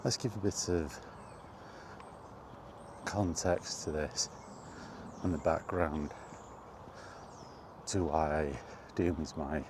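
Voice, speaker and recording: Low-voiced, slow middle-aged man chatting outdoors. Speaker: video game vlogger. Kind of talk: casual rambling chat while walking. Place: outdoors, walking toward a park. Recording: outdoor walking recording with background noise.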